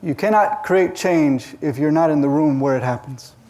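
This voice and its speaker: Man panelist, higher-pitched, enthusiastic audience address. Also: normal speed, dark tone. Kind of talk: panel introduction.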